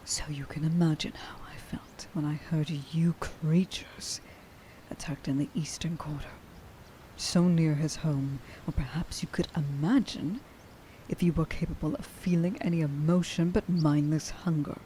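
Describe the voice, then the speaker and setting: Woman playing a dark-voiced, menacing werewolf huntress. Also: deep voice, fairly even intonation, slight background noise. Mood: bitter grief. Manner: menacing, contemptuous, deliberate, often dropping to a breathy whisper. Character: stern, vengeful female werewolf huntress, grieving a lost friend. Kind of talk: ASMR roleplay monologue, addressed to the listener.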